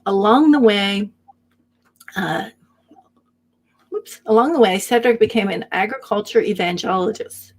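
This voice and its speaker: A woman's voice: medium pitch, measured pace, pauses often. A woman hosting a live show, reading out a guest introduction with small slips and self-corrections. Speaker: host.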